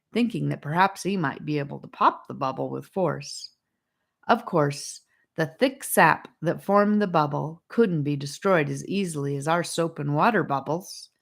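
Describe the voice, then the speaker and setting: Woman reading kids fantasy audiobook, low and slow. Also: vocal fry. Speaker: audiobook narrator. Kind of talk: storybook narration.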